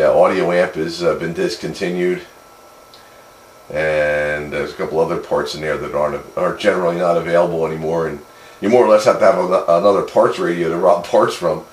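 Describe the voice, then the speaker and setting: A man's voice: deep voice, a little fast. A man chatting casually about his hobby over the radio in a folksy, matter-of-fact way. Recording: echoey, noisy CB radio transmission.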